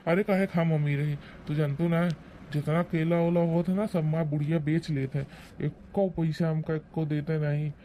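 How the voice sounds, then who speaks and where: A man's voice: fairly high pitch, flat delivery, normal speed, warm tone, slight background noise, lightly acted. A man playing a blustering, defensive old village husband in a rural comedy cartoon, scolding and rambling in an Awadhi accent. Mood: confiding, wary. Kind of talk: rustic comedy cartoon acting.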